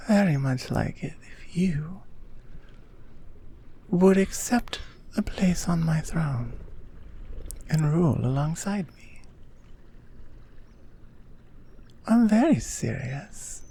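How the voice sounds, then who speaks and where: Amazonian queen, played by a woman: lowish, slow, soft and breathy, earnest and regal, for intimate roleplay ASMR. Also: heavily acted. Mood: sincere, persuasive. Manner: soft, breathy, measured, half-whispered delivery. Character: gentle, earnest Amazonian queen courting a guest. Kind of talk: roleplay ASMR, intimate second-person audio drama.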